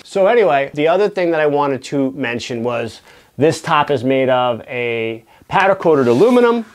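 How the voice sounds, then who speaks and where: A man's voice: mid-range voice, big pitch swings, moderate pace. A man giving a casual product review, explaining things conversationally and often checking in with the listener.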